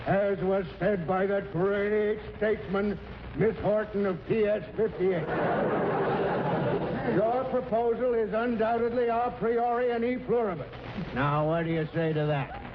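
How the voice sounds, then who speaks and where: An actor playing a pompous, busy middle-aged politician in a 1940s radio comedy, blustery and officious. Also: male voice, high voice, unhurried, pauses often. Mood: indignant, blustering. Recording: muffled, noisy 1940s radio broadcast.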